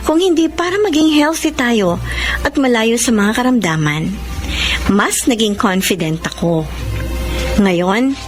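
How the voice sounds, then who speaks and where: A woman playing an earnest, self-assured young student in a radio drama, with a Filipino accent. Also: fairly high pitch. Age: young adult. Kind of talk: radio drama acting and narration.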